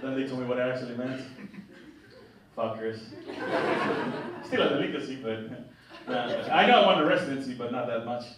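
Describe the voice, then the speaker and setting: A man's voice: medium pitch, fairly quick, pauses often. A young man with a Mexican accent doing a stand-up set on a theatre stage, chatty and loose, stumbling over restarts and laughing at his own jokes. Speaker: stand-up comedian. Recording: echoey hall, distant mic, noisy.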